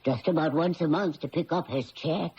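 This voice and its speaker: An actress playing a polite, folksy housekeeper in a radio drama, deferential, chatty and slightly flustered. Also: female voice, deep voice, normal speed, mellow, natural voice. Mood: chatty, confiding. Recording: muffled old radio recording, faint hiss.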